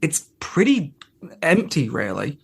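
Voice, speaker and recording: A man's voice: a little high, very animated, slow, gravelly, breathy edge. A man co-hosting a podcast, talking casually and conversationally in a rambling way about television. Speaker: podcast host. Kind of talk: casual TV episode discussion.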